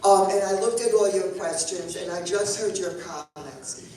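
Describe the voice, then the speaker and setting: A woman's voice: medium pitch, normal speed, crowd noise. A woman congregant asking a long, roundabout question and circling her point with examples. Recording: echoey room, mic far off, faint noise.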